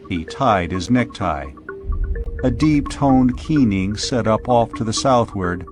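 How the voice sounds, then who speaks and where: A male narrator reads a science fiction audiobook in a voice of medium pitch. The delivery is scripted storytelling, and music plays clearly behind the voice throughout.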